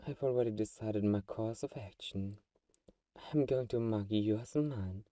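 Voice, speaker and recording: A man playing a teasing, possessive vampire who is friends with a hunter, in an intimate ASMR audio roleplay with sighs and soft chuckles. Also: on the low side, measured pace, no echo, clean studio sound, distant mic, natural voice. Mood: protective, possessive.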